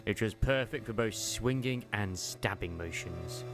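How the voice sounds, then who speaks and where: A man's voice: on the low side, unhurried. A man giving a dramatic, scripted narration of a story as a fan dub. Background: music.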